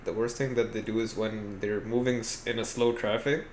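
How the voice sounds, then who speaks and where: A man's voice: fairly low pitch, flat delivery, moderate pace. Laid-back male co-host in echoey room. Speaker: podcast co-host. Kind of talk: casual chat. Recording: echoey room, faint background noise.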